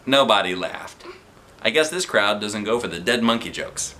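A man's voice: fairly low pitch, moderate pace, slight background noise. A man in his thirties reading a children's book aloud to kids from his living room sofa, with playful asides. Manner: animated, playful character voices. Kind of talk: expressive read-aloud with asides.

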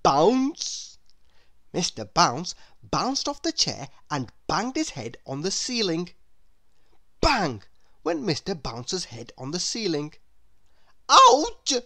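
A woman reading a children's story aloud in an expressive, deliberate way. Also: fairly low pitch. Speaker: storybook reader. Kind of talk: scripted children's story narration.

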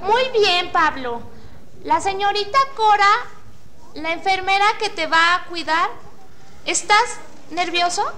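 A woman playing a young, brisk, reassuring hospital nurse in a student radio drama. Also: very high-pitched, sharp tone, heavily acted. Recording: faint noise, amateur radio drama recording.

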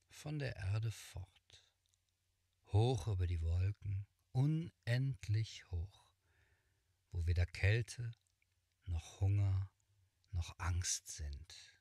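A middle-aged man reading a fairy tale aloud, slow and hushed, sometimes whispering, in a small room. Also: lowish voice, creaky, dry recording, studio quality. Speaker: storyteller. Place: small cluttered room, indoors.

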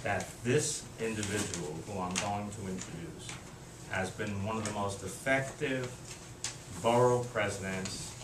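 A man's voice: on the low side, steady tone, unhurried. An older man, hosting a class lecture and introducing a guest in a casual, friendly way, with fillers. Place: small classroom. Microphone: far camera. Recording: echoey room from far off, noisy.

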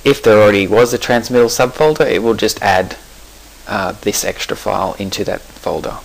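Low-voiced man narrating a software tutorial. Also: moderate pace, creaky, rough recording. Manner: relaxed explanatory, with fillers and self-corrections. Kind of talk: screencast software walkthrough.

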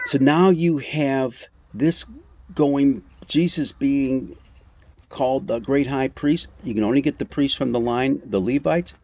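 A man in his sixties giving an informal Bible talk, explaining scripture in halting, emphatic phrases with rhetorical questions. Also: medium pitch, darkish tone. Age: 60s. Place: outdoors on a covered porch among greenery. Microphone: phone or camera at arm's length.

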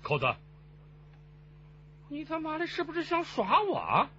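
An actor playing a menacing, suspicious fugitive criminal in a radio crime drama, curt and stubborn. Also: male voice, very high voice, huge pitch swings, unhurried, sharp tone, dull audio, slight background noise, full character voice. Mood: angry, suspicious.

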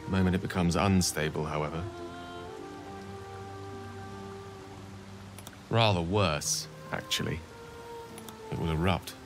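An actor voicing an eloquent, theatrical human wizard in a video game, speaking in articulate, wordy, confessional dialogue. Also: male voice, sits low, fairly even intonation, moderate pace, music in the background, close to an everyday voice. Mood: grave confession.

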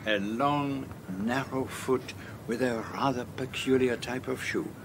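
An actor playing a fussy, dignified elderly Belgian detective with a French accent in a radio drama, speaking in a measured, formal way. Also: male voice, mid-range voice, steady tone, background noise, close to an everyday voice. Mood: methodical. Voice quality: measured, formal, unhurried delivery. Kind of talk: radio drama acting and narration.

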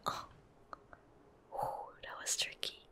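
A young woman doing soft-spoken ASMR gameplay commentary, gentle and breathy, close on a studio microphone. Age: young adult. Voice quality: breathy, whispered. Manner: gentle, unhurried, casual. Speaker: ASMR game streamer. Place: indoor streaming room.

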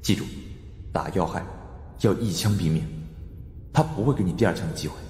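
A man's voice: low-pitched, brisk, a little mellow, faint music behind, natural voice. A man voicing a stern, commanding male investigator in an audiobook, terse and menacing. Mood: low, commanding. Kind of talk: audiobook character acting. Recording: long hall-like reverb on voice.

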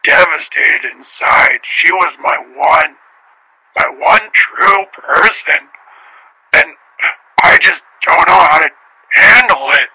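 A man playing a heartbroken, bitter jilted husband phoning a radio comedy call-in show in halting speech. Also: on the high side, sharp tone, breathy edge, no room sound, heavily acted, poor audio.

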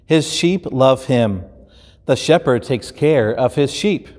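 A man in his fifties with an American accent, a pastor reading a children's story aloud in an expressive, deliberate way. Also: mid-range voice. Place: large indoor church stage. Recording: roomy hall with long reverb.

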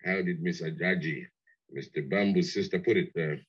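An actor playing a polite, needling former chauffeur who dwells wistfully on old days in a 1960s radio drama. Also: male voice, sits low, normal speed, breathy edge. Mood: sly, cheery. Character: nostalgic, ingratiating former chauffeur, loyal visitor. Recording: dull old 1960s radio play recording.

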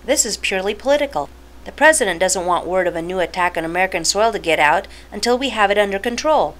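Quick actress as serious female intelligence official. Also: medium pitch, animated, close to an everyday voice. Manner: brisk, matter-of-fact. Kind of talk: dramatic game cutscene acting.

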